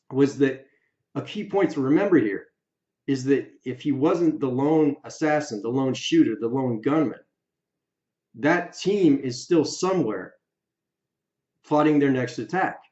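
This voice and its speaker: Guy doing opinion video commentary, medium pitch, emphatic short phrases, echoey room, kinda dull audio. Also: expressive, measured pace, pauses often, darkish tone. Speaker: YouTube video creator. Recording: roomy echo, slightly dull sound.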